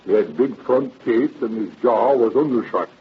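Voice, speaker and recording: A man's voice: medium pitch, moderate pace, breathy edge, phone-quality sound. An actor playing an older, talkative and obliging night watchman in a folksy, hesitant drawl, in old-time radio drama style. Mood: eager, obliging.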